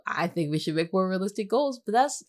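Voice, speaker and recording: A woman's voice: medium pitch, expressive, brisk. A woman chatting casually as a podcast co-host, teasing and often repeating her phrases for emphasis.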